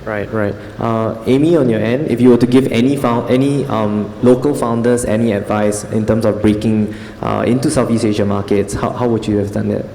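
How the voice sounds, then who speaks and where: A man's voice: lowish voice, steady tone, normal speed, few pauses, warm tone, a little hiss or hum. A man moderating a conference fireside chat, talking casually with many fillers and restarts. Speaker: panel moderator. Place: large conference hall stage. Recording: echoey conference hall.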